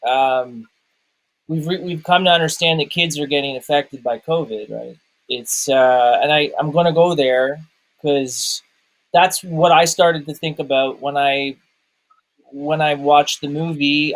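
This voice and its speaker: Guy on a movie podcast, voice a bit high, feeling his way through questions, real casual. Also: fairly even intonation, measured pace, rough recording. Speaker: film review podcast co-host.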